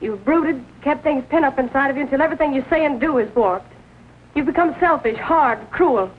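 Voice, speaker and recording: An actress playing an earnest, defiant young niece in a 1940s melodramatic film style. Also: female voice, high-pitched, fairly quick, lightly acted. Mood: defiant, bitter. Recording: tinny, noisy old film soundtrack.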